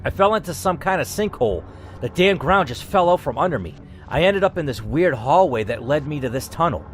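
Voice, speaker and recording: A man's voice: fairly high pitch, expressive, close to an everyday voice. A man reading the part of an injured, exhausted male worker who is scared and weary and ready to give up. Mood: frightened. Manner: panting, fretful, quick to give up.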